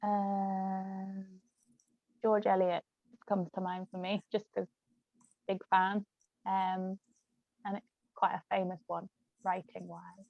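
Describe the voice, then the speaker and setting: A woman's voice: medium pitch, level tone, slow pace, vocal fry, long pauses, no echo. Woman chatting casually, slightly dull dry audio. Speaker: writer, discussion participant. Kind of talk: casual conversational book chat.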